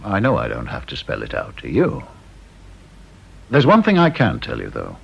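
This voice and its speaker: An actor playing a sardonic, talkative senior security interviewer, British, with a dry, teasing, mock-polite manner in radio drama style. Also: male voice, extremely low pitch, wildly swooping pitch, moderate pace, gravelly, slight background noise, heavily acted. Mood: smooth, authoritative. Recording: dull, muffled old radio recording.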